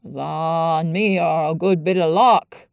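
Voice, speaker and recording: A woman voicing a sour, blunt old Yorkshire gardener with slow, grumbling dialect speech. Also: low-pitched, creaky, clear, resonant tone, no room sound, full character voice. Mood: gruff.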